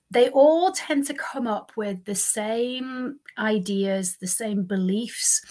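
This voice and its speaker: A woman in her fifties hosting a solo talk show, slightly nasal from a cold, talking earnestly and stressing single words. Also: medium pitch. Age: 50s. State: congested from a cold. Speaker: podcast host and lifestyle coach. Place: home office, indoors.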